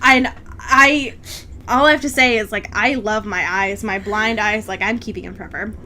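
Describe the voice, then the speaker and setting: A young adult woman co-hosting a podcast, recapping a book in a rambling, animated, comedic chat with theatrical asides. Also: mid-range voice, moderate pace, slight background noise. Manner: animated, rambling, theatrical retelling with mock quotes and frequent 'like'. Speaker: podcast co-host.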